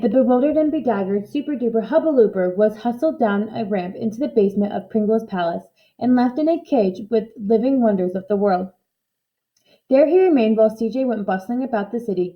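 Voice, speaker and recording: A woman reading a children's storybook aloud in expressive narration. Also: a little high, dull audio. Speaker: storybook reader.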